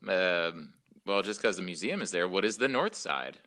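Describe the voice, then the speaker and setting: A woman's voice: very deep voice, expressive, moderate pace, fairly bright tone, a little hiss or hum. A woman chatting casually as a quiz contestant, joking at her own expense. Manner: self-deprecating, joking. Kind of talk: casual chat.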